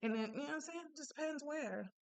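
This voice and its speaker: A woman with a slight Panamanian Spanish accent telling personal stories in a casual, animated monologue, often checking in with "right?". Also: sits high, fast talker, gravelly. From born in Japan, Panamanian family. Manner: animated, conversational, frequent "right?" asides. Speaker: self-help speaker.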